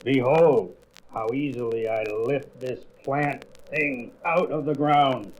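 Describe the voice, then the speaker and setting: A man's voice: mid-range voice, steady tone, slow, natural voice. Male actor as alien stone soldier, eager, boastful, stilted declaiming, comic-book drama, faint noise. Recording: faintly noisy old record.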